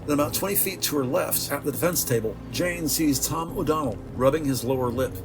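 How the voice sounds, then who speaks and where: A man's voice: fairly high pitch, a little fast, background noise. A man narrating an audio drama from a script in a descriptive, theatrical storytelling manner. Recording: music behind the voice.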